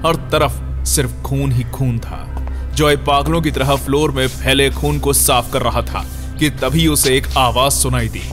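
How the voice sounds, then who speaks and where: A man's voice: mid-range voice, fairly even intonation, unhurried, fairly bright tone. A man narrating a scripted horror audio story in a dramatic storyteller's manner. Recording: music under the voice. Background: background music.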